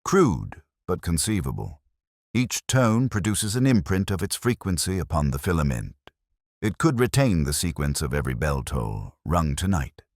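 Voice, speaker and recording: A brilliant detective acted by a male narrator speaks in a very deep, dry-sounding voice. He is grave and coolly analytical, delivering deductions in deliberate, theatrical phrases with wide swings of pitch. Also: big pitch swings, a little mellow, dry recording, exaggerated voice. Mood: intent.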